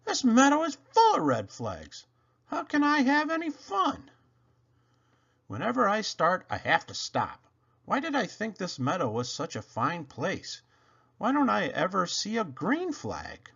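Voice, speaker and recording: A man's voice: high-pitched, wildly swooping pitch, a little slow, gravelly, a bit bright, husky, lo-fi sound, pitched above the performer's own voice, exaggerated voice. A man voicing a young, playful, easily frustrated train engine from a children's storybook, earnest and exclaiming. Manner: exclaiming, earnest, wide-eyed.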